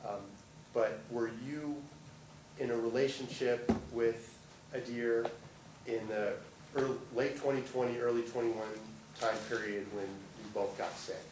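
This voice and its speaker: Attorney questioning a witness in court. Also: male voice, mid-range voice, fairly even intonation. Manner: polite, halting, with many fillers. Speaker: trial attorney. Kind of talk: direct examination of a witness. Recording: distant, roomy courtroom audio with noise.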